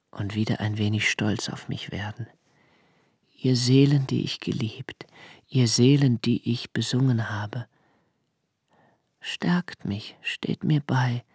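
An actor playing an anguished, brooding writer in a literary radio play monologue, speaking deliberately in halting phrases with intense emphases. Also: male voice, mid-range voice, gravelly, slightly rough, no room sound, studio quality, exaggerated voice. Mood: prayerful, pleading. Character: anguished, brooding male writer confessing his dread.

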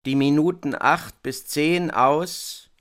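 A man presenting scripted radio narration in a measured, deliberate way. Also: fairly high pitch, fairly bright tone, clear, resonant tone. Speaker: presenter and performer.

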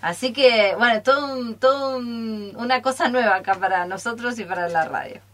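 A woman with an Argentine accent casually presenting radio theatre live, playful, halting and repeating herself. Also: a little high, a bit bright. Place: radio studio.

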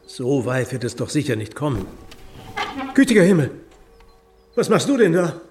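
A man's voice: fairly high pitch, big pitch swings, normal speed, darkish tone, recorded from a distance, soft background music. An actor playing an earnest, anxious middle-aged provincial lawyer and exasperated father in a radio drama. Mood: earnest, alarmed. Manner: earnest, deferential, worried.

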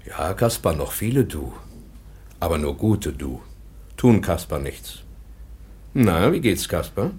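A gentle, coaxing professor in a radio play. His voice is low and husky and moves lively up and down. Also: slow, pauses often, full character voice. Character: gentle, polite male schoolteacher.

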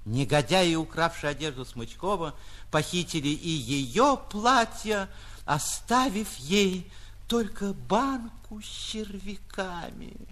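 A man, an actor reading a literary short story in an expressive, mock-grandiose theatrical style. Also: sits high, takes it slowly. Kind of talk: theatrical literary audiobook narration for radio.

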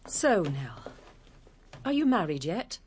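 An actress playing a devoted singer, tender but sharp-tongued when hurt, in a radio drama. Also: female voice, on the low side, big pitch swings, slow, a little hiss or hum. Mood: cool, probing. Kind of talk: broadcast radio drama acting.

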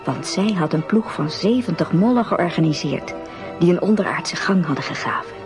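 Female fairy-tale narrator for radio drama, lower register, scripted storytelling over prominent music. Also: lively intonation, normal speed.

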